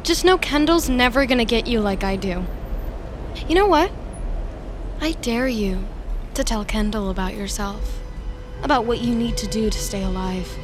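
A woman's voice: fairly high pitch, moderate pace, pauses often, music in the background, natural voice. An actress in an audio drama playing an intense, jealous young woman who is insistent and pleading. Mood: bitter, confrontational. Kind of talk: naturalistic audio drama acting.

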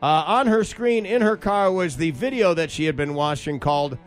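A man hosting a radio show, telling stories and bantering casually and comedically in an animated way. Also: a little high, moderate pace, faint music behind. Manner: animated storytelling. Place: radio studio.

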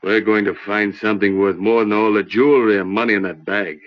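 Man acting low-voiced greedy outlaw, muffled radio. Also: fairly even intonation, fairly quick, lightly acted. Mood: scheming, greedy. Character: greedy, scheming Old West outlaw leader. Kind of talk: old-time radio western acting. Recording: muffled old radio recording with music.

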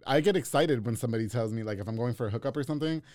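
Fast-talking, brash male host. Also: mid-range voice, a little mellow, no room sound, studio quality. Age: 30s. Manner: brash, chatty, swears freely. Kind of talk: casual talk-show interview.

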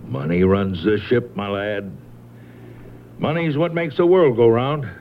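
An actor playing a cagey, patronising killer in a radio mystery, casual and controlled with cool menace. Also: male voice, mid-range voice, a little slow, natural voice. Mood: coolly menacing. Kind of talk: radio mystery drama acting. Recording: tinny band-limited vintage radio, faint noise.

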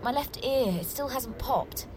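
A woman's voice: on the high side, animated, measured pace, noisy background. A woman playing an anxious, polite, naive young woman in an audio drama, fretting hesitantly and apologetically. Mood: fretful.

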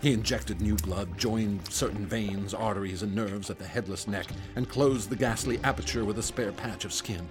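Radio drama: male actor as a low-voiced, guilt-worn surgeon narrating, music bed. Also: normal speed, hardly any pauses, lightly acted. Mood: grim. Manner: grave, weary confessional telling. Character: haunted male doctor, narrator of his past.

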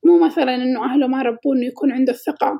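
A woman's voice: high voice, rapid-fire, vocal fry, dark tone, breathy edge. A woman co-hosting a casual podcast, with a childlike-sounding voice and a thoughtful, reflective way of talking. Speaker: podcast co-host.

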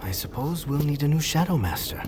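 An actress playing an ambitious, defiant villain's underling in an audio drama. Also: female voice, low voice, lively intonation, a little fast, background noise.

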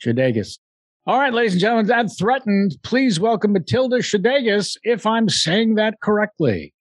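Jokey interview host, very lively intonation, dry sound. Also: medium pitch, normal speed, no room sound. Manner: playful, jokey. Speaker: podcast host. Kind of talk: casual interview.

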